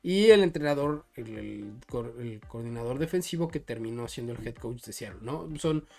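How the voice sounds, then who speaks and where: A man's voice: medium pitch, animated, moderate pace, gravelly, mellow. A man co-hosting a sports podcast, discussing football casually and circling back over his words as he reasons.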